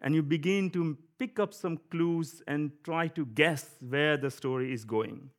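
A man from India with an Indian accent giving a conversational church sermon, often pausing with fillers and light laughs. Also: fairly high pitch. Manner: conversational, chuckling, with frequent fillers. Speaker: preacher.

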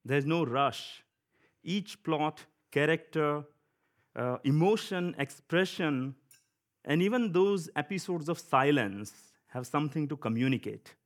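A man from India with an Indian accent giving a conversational church sermon, often pausing with fillers and light laughs. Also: on the high side, animated. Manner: conversational, chuckling, with frequent fillers. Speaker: preacher.

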